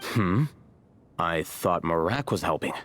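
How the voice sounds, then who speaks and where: An actor playing an unhurried desert scout in a video game, with a dry, teasing manner. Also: male voice, sits low, lively intonation, fairly bright tone, soft background music, heavily acted. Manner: laid-back, dry.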